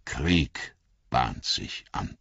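A man reading an audiobook voices an old wizard who speaks gravely and kindly, slow and measured. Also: extremely low pitch, expressive, fairly bright tone, no echo, muffled recording, heavily acted.

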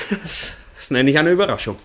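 An actor playing a politely cool, unruffled intruder in a German radio crime drama, with composed, ironic courtesy. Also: male voice, on the high side, expressive, moderate pace, lightly acted. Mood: surprised, ironic.

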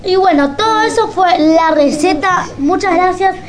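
A woman's voice: very high voice, a little slow, crowd noise. A woman with an Argentine accent hosting a radio show in a casual way. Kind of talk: casual radio hosting, reading aloud and signing off. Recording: faint background noise.

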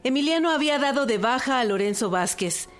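A woman's voice: sits high, lively intonation, brisk. A woman giving scripted historical narration.

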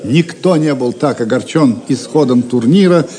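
A man's voice: mid-range voice, normal speed, mellow. A man giving dramatic scripted narration for an audio drama. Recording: crowd noise behind. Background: crowd of spectators.

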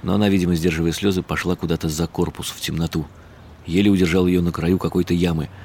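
A man reading a first-person literary story as a radio play, in a measured, wry and bitter tone. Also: deep voice, level tone, a little mellow, slight background noise.

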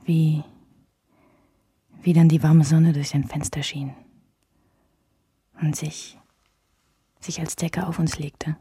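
A woman's voice: deep voice, flat delivery, slow, long pauses, dark tone, breathy edge, dry recording, heavily acted. An actress playing a wistful, candid woman recalling a love triangle in intimate, reflective first-person radio drama narration. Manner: reflective, alternates German with English phrases.

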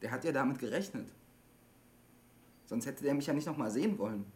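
An actor in his twenties playing a withdrawn, troubled young man in a naturalistic radio drama, speaking in halting, repeating sentences. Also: mid-range voice, expressive, darkish tone, lightly acted. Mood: subdued, grieving. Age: 20s. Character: troubled, withdrawn 25-year-old man, a lonely CB radio hobbyist.

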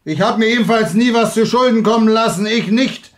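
An actor playing a jealous, bitter young husband in a radio play, sarcastic and sneering. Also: male voice, high voice, a little fast, lightly acted. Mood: drunken, blustering. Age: young adult. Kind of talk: German radio play acting.

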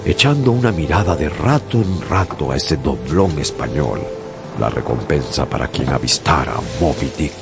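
Sailor-narrator: very deep, measured, radio drama with music. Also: male voice, lively intonation, creaky, full character voice. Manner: measured storytelling. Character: young sailor narrating his voyage.

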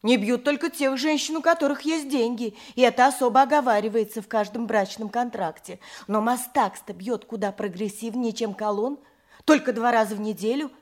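A woman's voice: fairly high pitch, fairly quick, lightly acted. An actress playing a chatty, worldly-wise married peasant woman in an audio drama, talking in a matter-of-fact, gossipy way. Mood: matter-of-fact, persuasive. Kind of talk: theatrical audio drama with first-person narration.

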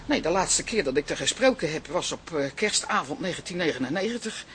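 A stubborn, proud older grandmother in a radio drama. Her pitch moves animatedly as she repeats and stumbles over words. There is faint ambient noise behind her. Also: animated, brisk. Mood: flustered, apologetic.

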